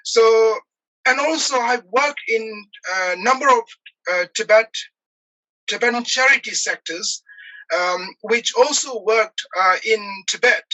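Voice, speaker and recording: A man in his forties from Nepal, speaking as an interview guest over a video call, earnest and halting with many pauses and fillers. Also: sits high, bright, forceful tone, rough recording. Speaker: guest, activist. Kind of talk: earnest personal account in an interview.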